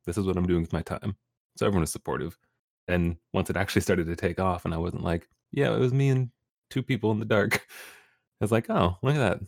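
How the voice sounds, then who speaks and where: A man's voice: deep voice, a little mellow, dry recording, clean studio sound. A young man, a streamer guest on a podcast, talking casually with easy laughs and rambling restarts. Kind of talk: casual interview chat.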